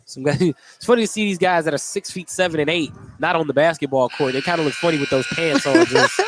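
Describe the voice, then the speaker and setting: A man with a fairly high voice works as a basketball play-by-play announcer. He delivers live radio commentary, following the game as it happens. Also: very animated, fast, background noise. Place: courtside in a gymnasium.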